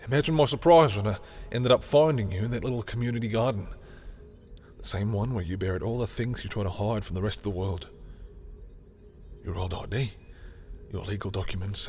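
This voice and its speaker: A man playing a lovestruck, bitter villain in an intimate audio roleplay, speaking in a halting, emotional way. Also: lowish voice, natural voice. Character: lovestruck, bitter male villain confessing to a rival.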